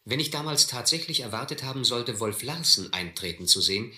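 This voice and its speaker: A suspicious, shrewd sea captain tells and lives an adventure in a radio play. His voice is forceful and sharp-edged, sitting a little low, with a dry, measured delivery in a roomy acoustic. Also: expressive. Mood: reflective narrating. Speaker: actor playing captain and first-person narrator. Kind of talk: German radio adventure drama acting.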